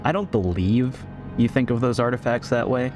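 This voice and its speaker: A man's voice: medium pitch, moderate pace, lightly acted. Man acting a guarded military commander over music. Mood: defiant. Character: defiant, principled male military commander hiding secrets. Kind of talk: science fiction audio drama acting.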